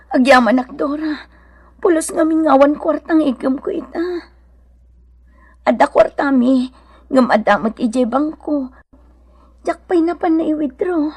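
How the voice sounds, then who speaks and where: High-voiced, tender Ilocano mother. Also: a little slow, pauses often. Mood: grateful, warm. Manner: tender, earnest, emotive. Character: devout, caring, anxious young mother and neighbour. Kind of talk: Ilocano radio drama acting.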